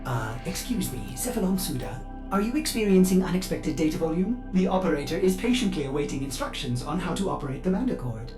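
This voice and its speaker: An actress playing a prim, anxious and loyal ship computer assistant in a video game, officious and a little hesitant. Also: female voice, fairly low pitch, very animated, quick pace. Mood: concerned. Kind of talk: scripted video game dialogue. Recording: echoing voice with music behind. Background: game music.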